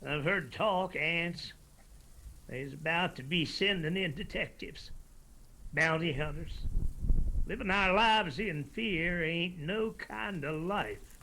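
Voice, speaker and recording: An actor playing a grave, goading Appalachian uncle in a radio drama, speaking deliberately. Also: male voice, on the high side, bright, forceful tone, slight background noise, heavily acted.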